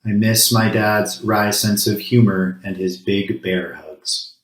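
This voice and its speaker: A man with a low-pitched voice leads a solemn spoken ritual in a roomy, echoing space. His delivery is very flat and even, set out in short, measured phrases with pauses between them, as a worship leader would speak to a congregation.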